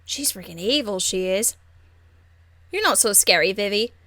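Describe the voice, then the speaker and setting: A woman voicing a cheeky, crude young street rebel woman from a fantasy game, blunt, slangy and excitable. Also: mid-range voice, normal speed, no echo. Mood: defiant. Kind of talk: video game character acting.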